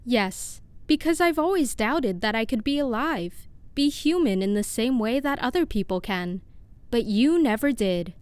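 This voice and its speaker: Audio drama actress as literal-minded female scientist, earnest and formal, crisp clean tone, very dry recording. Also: on the high side, expressive, a little mellow, no echo. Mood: sincere. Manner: formal, precise, measured wording. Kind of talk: scripted audio drama acting.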